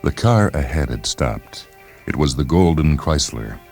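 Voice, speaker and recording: Super deep bass guy narrating a dramatic story, bit of music under him. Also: expressive, unhurried, a little mellow.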